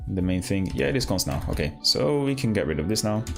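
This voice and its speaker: A man hosting a live coding stream, talking casually and haltingly as he thinks aloud and asks himself questions. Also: on the low side, big pitch swings, gravelly, soft background music. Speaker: livestream host, programmer.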